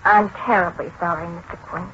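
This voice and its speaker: Principled young newspaperwoman, pleading manner, high and animated, 1940s radio drama. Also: brisk. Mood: concerned, gentle. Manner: earnest, pleading. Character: concerned, principled young woman newspaper reporter, kind. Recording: muffled, noisy 1940s radio recording.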